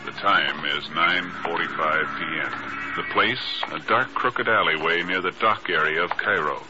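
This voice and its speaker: A man's voice: low-pitched, moderate pace. A man narrating a 1950s radio drama as announcer, solemn and portentous. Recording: muffled old radio recording, music behind. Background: dramatic music.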